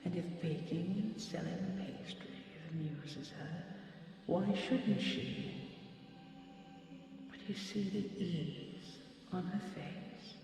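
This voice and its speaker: Woman playing a slow, hushed, regal dragon queen. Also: lowish voice, heavy reverb, long reverb tail, distant mic, music in the background. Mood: soothing, indulgent. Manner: formal, measured, coaxing, slightly archaic phrasing. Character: possessive, magical female dragon queen, regal and tender. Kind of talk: scripted audio roleplay, hypnotic fantasy.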